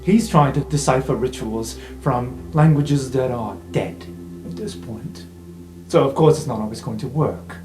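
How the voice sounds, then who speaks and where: A man voicing a knowing, wry informant in an improvised fantasy roleplay podcast, explaining in measured phrases with theatrical pauses. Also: mid-range voice, close to an everyday voice. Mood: explanatory, wry. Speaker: game master. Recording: roomy sound with guitar music underneath.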